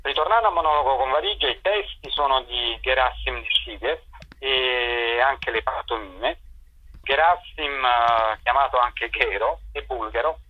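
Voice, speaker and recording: A middle-aged man gives interview answers over a dull, lo-fi radio phone link. His voice sits at an ordinary pitch, and he explains himself haltingly, often pausing to find the word. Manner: hesitant, searching for words, explanatory. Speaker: theatre director and performer. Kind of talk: informal spoken interview answers. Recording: dull lo-fi telephone-radio sound.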